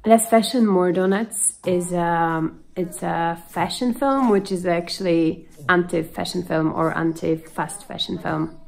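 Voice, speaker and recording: Low gravelly woman speaking earnest English. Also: fairly even intonation, a little slow, few pauses, soft background music. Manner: earnest, plain non-native English with small slips. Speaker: film director. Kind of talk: video call interview. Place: home room indoors.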